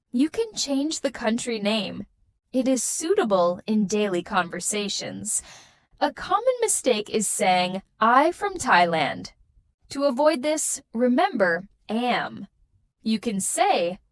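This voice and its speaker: A woman teaching a scripted beginner English lesson, speaking in slow, carefully spaced, patterned sentences. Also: a little high, no echo.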